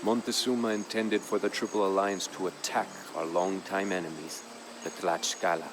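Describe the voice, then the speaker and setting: A man voicing a grave Aztec jaguar warrior of royal blood, recounting events in measured, solemn game narration. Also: sits low, level tone, noisy background, lightly acted. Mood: grave, foreboding. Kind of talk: scripted dramatic narration for a game. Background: music.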